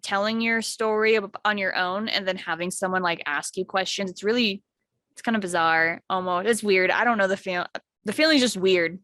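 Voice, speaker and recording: A young woman hosting a casual podcast, upbeat and encouraging, chatting warmly with her co-host. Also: mid-range voice, moderate pace, no echo.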